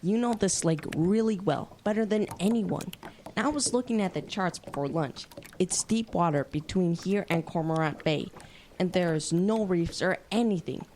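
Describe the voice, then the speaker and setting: A stubborn kid adventurer, played by a young woman, with a fairly low voice and faint noise behind. The delivery is earnest and insistent, in the style of an adventure audio drama. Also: normal speed, a little mellow, close to an everyday voice. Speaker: youth actor in audio drama.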